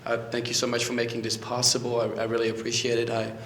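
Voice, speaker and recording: A French-accented man in his thirties speaks from a podium mic in an echoey library room. His delivery is level and flat, chatty and full of restarts, with a faint hum behind him. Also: medium pitch, quick pace. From France. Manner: conversational, frequent restarts and fillers. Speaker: guest lecturer. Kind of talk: public history lecture. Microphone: podium microphone.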